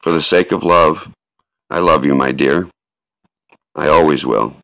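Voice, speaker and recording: A man's voice: a bass voice, a little slow, vocal fry, a bit bright. A man hosting a live podcast, speaking in a solemn reading style or in casual talk. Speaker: host of a live podcast reading a tribute aloud. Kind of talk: solemn dramatic reading and casual call-in chat. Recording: echoey room, dull lo-fi sound.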